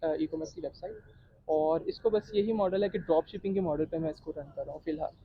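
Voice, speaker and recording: A young Pakistani man, around nineteen, speaks casually as an interview guest. His voice sits high and has a creaky, gravelly grain, and he slips English into his talk. The recording is somewhat dull and lo-fi. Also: fast talker. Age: late teens, 19.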